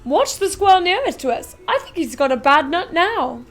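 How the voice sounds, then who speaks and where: A woman reading aloud and voicing an eccentric, excitable male chocolate factory owner who talks loudly and briskly. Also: very high voice, pitched above the performer's own voice. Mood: eager, instructive. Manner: loud, brisk and commanding.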